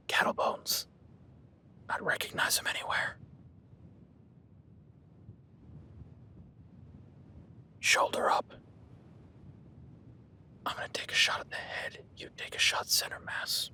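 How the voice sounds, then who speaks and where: A man playing a gruff cowboy hunter in an audio roleplay, whispering while stalking and swearing and giving orders in a crisis. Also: a little slow, long pauses, sharp tone. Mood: hushed, tense. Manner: swearing, commanding, urgent. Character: gruff rugged cowboy hunter tracking a beast. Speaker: ranch hand tracking a beast.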